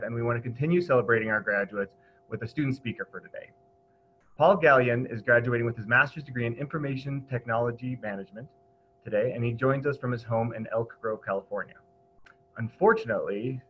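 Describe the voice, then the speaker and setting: A man in his thirties hosting a formal live ceremony over a webcam. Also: medium pitch. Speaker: ceremony host. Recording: dull audio, faint noise and hum.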